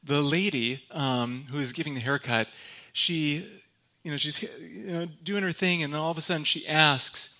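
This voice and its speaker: A young man from Michigan preaches as a guest speaker, and his voice sits a little high for a man. The tone is bright and forceful. His stories drift along loosely, full of "um"s, false starts and easy humor. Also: normal speed. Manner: relaxed and joking, with many fillers and restarts. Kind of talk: sermon told as personal stories.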